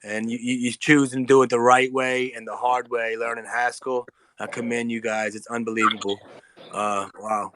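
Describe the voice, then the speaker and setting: A man's voice: mid-range voice, flat delivery, normal speed, fairly bright tone. A man hosting a casual live audio chat, talking in an effusive, slangy, bro-heavy way. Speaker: host.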